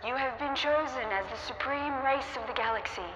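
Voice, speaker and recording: Divine voice: pompous universe creator, reverberant PA announcement, noisy backdrop, audio drama. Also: female voice, fairly quick. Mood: commanding. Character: grand female creator of universe over PA. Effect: booming PA system. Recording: echoing PA with loud noise.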